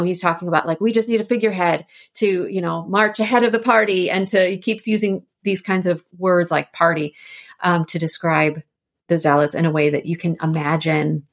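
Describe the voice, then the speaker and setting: A woman speaking as a podcast guest, explaining literature in a thoughtful, enthusiastic, conversational way. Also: mid-range voice. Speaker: interview guest, author and editor.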